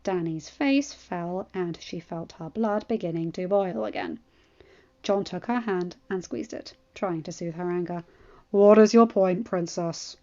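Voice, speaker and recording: A woman's voice: on the low side, measured pace, warm tone. Woman narrating, slightly muffled sound. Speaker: audiobook reader. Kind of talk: scripted fan fiction narration.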